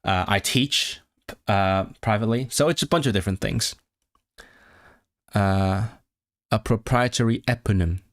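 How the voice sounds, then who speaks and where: A man's voice: fairly low pitch, a little slow, long pauses. Hesitant young man chatting on a stream. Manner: hesitant, many restarts and fillers, thinking aloud. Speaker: streamer and language tutor. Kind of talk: casual live-stream chat answering viewers.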